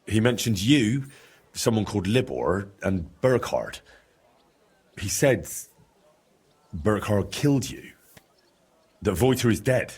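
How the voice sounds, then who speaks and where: An actor playing an earnest young medieval adventurer in a video game, direct and questioning, with a slightly husky voice. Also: male voice, fairly low pitch, lively intonation, slow, long pauses, a little mellow, heavily acted. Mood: recounting, uneasy. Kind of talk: video game dramatic dialogue.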